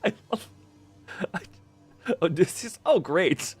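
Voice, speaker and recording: A man's voice: high voice, lively intonation, slow, slightly rough. A man doing casual live commentary as a game streamer, exclamatory and rambling. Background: faint music.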